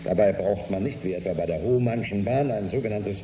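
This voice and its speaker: An actor playing a commanding, weary spaceship captain in a German radio drama. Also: male voice, lowish voice, brisk, lightly acted. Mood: grave, resigned. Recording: roomy studio with clear background hum.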